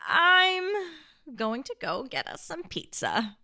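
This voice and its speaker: Woman acting a bright, expressive young-hearted survivor. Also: sits high, takes it slowly, full character voice. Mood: incredulous. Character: kind, hesitant, flustered female apocalypse survivor. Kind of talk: radio play acting.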